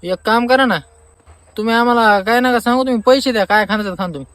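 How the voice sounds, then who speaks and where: Comic phone skit: man acting an aggrieved rural Marathi farmer, high voice, exasperated, mocking and sarcastic. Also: fast, fairly bright tone. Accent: Marathi, rural Maharashtra. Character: aggrieved, sarcastic male farmer demanding refund. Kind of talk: staged comic phone-call argument.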